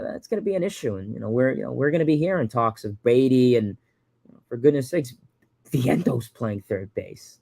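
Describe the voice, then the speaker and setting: A man's voice: mid-range voice, a little mellow, no echo. A man in his early twenties from Long Island, New York, hosting a casual livestream and answering viewer questions. He rambles and repeats words, with lots of fillers. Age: 20s. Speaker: livestream host.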